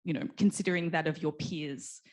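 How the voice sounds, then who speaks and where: A woman's voice: low voice, steady tone, a little fast. A woman speaking as a panelist, thoughtful and full of restarts and fillers. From Australia. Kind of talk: panel discussion.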